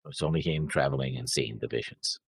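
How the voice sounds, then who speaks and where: A man's voice: very deep voice, a little fast, slightly rough, no echo. A man co-hosting a reaction show in casual chat, hesitant and sarcastic, often saying "you know". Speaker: co-host.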